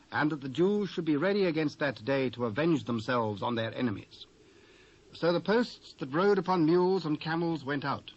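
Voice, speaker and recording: A British man narrating scripture in a formal, measured, dramatised style. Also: a little high. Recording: dull lo-fi cassette transfer.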